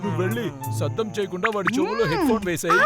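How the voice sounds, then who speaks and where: An excitable cartoon character, pitched extremely high. The delivery is eager, urgent and exclamatory. Loud music sits behind the voice. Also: male voice, rapid-fire. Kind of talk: cartoon dubbing in Telugu.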